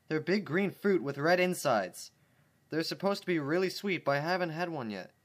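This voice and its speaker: A man's voice: on the high side, lively intonation, normal speed, pitched above the performer's own voice. A man reading the lines of a bookish, polite, teasing female unicorn pony, his voice lifted a little above his own and tripping over words now and then. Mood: cheerful.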